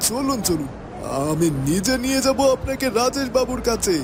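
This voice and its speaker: An ingratiating cartoon henchman with a high, very animated voice. He is oily and eager, doubling his words. Music runs behind him. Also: normal speed. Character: fawning, eager male henchman of a shady boss.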